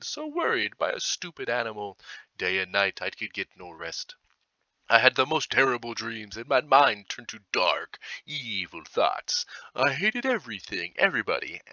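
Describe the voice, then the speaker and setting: A man reading a horror story as a tormented murderer's confession, in a theatrical, heavily emphatic manner with dramatic pauses. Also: mid-range voice, vocal fry, sharp tone, dry recording, dull audio. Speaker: storybook reader. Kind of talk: dramatic first-person narration of a horror story.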